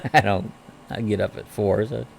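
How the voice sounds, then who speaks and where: Hoarse, gravelly man chatting easygoing. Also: on the low side, measured pace, slight background noise. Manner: easygoing, rambling, greets viewers by name. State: crackly, hoarse voice from a respiratory illness. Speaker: host of a morning live chat. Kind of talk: casual live-stream chat, reading viewer comments aloud.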